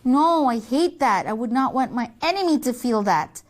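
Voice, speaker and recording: A woman voicing a dramatic, whiny big sister who complains in drawn-out exasperation, in a children's story. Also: fairly high pitch, expressive, natural voice. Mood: hurt. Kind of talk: acted children's story dialogue.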